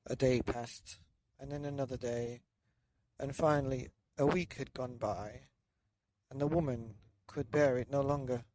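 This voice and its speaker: A man reading a children's bedtime story in a loose, dramatic way with drawn-out emphasis. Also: medium pitch, fairly even intonation, mellow, dry recording, rough recording. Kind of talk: children's story narration, partly improvised.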